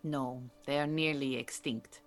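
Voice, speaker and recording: An actress playing an earnest, proud Iranian refugee woman who mentors beekeepers in an audio drama, speaking in a measured, formal, precise way. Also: rumbling low, steady tone, faint music behind. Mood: calm, cautioning. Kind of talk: naturalistic audio drama acting.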